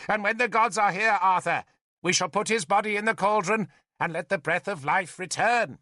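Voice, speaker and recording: Man as an old druid, ruthless, persuasive, imperious, raised pitch, sharp forceful tone, audiobook drama. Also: normal speed, no room sound, studio quality. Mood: patient menace.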